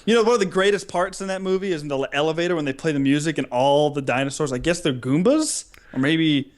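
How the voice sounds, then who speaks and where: Playful, higher-voiced man bantering on a podcast. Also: fast talker. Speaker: podcast co-host. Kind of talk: casual comedic banter.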